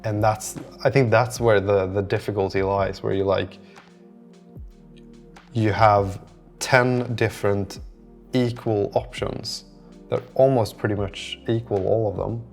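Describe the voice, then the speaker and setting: A man's voice: lowish voice, steady tone, a little slow, gravelly, a little mellow, soft background music. A man rambling and thinking aloud in an unscripted, casual solo vlog monologue. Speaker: solo app developer vlogger.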